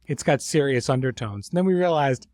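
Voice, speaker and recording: A man's voice: mid-range voice, expressive, fairly quick, a little mellow, dry recording. Articulate man explaining his creative process, dry recording. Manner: articulate, explanatory, uses vivid imagery. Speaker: series creator and writer. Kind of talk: reflective behind-the-scenes podcast conversation.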